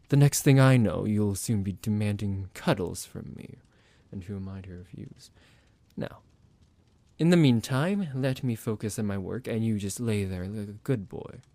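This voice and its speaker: A man playing a slow, creaky, possessive vampire doctor. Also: lowish voice, no echo. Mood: smug, commanding. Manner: measured, formal, menacing, softly commanding. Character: psychotic male vampire doctor, possessive, condescending captor. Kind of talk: ASMR roleplay acting.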